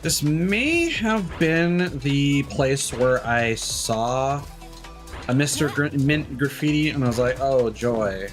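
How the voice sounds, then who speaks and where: A man's voice: mid-range voice, lively intonation, takes it slowly. A man giving casual live gameplay commentary as a streamer, thinking aloud in loose, candid asides. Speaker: game streamer. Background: game music.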